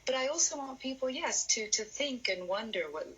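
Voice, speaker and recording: A woman's voice: high voice, lively intonation, moderate pace, fairly bright tone, lo-fi sound. A woman film director answering interview questions in an earnest, emphatic manner.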